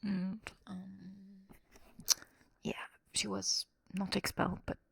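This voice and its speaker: A woman whispers a bedtime story as a quirky anime girl in an ASMR roleplay. Her voice is breathy with touches of vocal fry, recorded very close and dry. She rambles casually, as if making it up as she goes. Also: medium pitch, completely flat delivery, takes it slowly, no echo. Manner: rambling, improvised teen-slang delivery. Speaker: ASMR roleplay performer and streamer.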